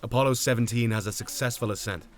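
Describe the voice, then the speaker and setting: A man's voice: medium pitch, fast, close to an everyday voice. An actor playing a stern, burdened male mission control commander, speaking in a grave, clipped, authoritative way. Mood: somber. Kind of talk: dramatic audio drama acting.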